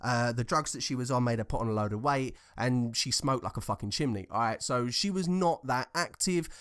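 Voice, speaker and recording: A man's voice: medium pitch, fairly quick, fairly bright tone, clear, resonant tone. A young man from England with a southern English accent, giving casual ranting commentary in an informal, sarcastic, sweary way. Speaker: commentator reacting to social media posts.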